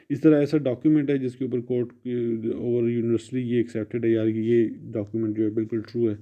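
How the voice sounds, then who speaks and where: Pakistani guy teaching law, really dark mellow voice, explains stuff then repeats it, kinda dull lofi recording. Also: mid-range voice. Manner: explanatory, repeats points in other words. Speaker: law lecturer. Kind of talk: exam-prep lecture mixing Urdu and English. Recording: muffled, dull lo-fi audio.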